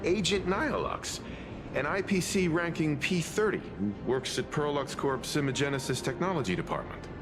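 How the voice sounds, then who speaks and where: A man as a genial, expressive mature gentleman. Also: on the high side, background noise, natural voice. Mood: thoughtful. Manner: courteous, reflective, deliberate. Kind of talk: video game character dialogue.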